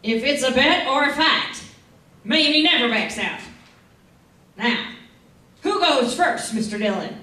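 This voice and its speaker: A woman's voice: sits high, expressive, a little slow, pauses often, pushed and bright, exaggerated voice. A woman playing a brash, hot-tempered, boastful gunslinger with a folksy drawl in an old-time radio western. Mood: bold, challenging. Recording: very echoey room, distant mic.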